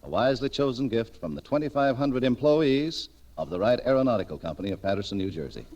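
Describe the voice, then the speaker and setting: Old time radio ad man, medium pitch, swoops around a lot, smooth pitchman patter, vintage broadcast sound. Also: big pitch swings, fast, a little mellow, highs cut off. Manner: polished, declamatory salesman delivery. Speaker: radio announcer. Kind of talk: 1940s radio sponsor pitch.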